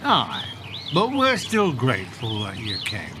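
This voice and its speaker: A man acts out a friendly Northern English local in a radio play, folksy and drily joking. His pitch swings widely as he talks in broad dialect over clear background noise. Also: huge pitch swings, a little slow, exaggerated voice. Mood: welcoming, wry. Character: friendly, wry northern man fetching a hotel guest. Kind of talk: audio drama acting.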